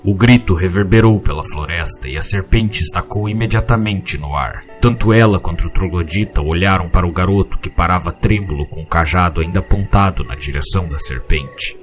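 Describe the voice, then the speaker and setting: Deep-voiced Brazilian male storyteller over music. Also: a little fast, hardly any pauses. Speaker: narrator. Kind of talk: scripted storybook narration. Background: music with guitar.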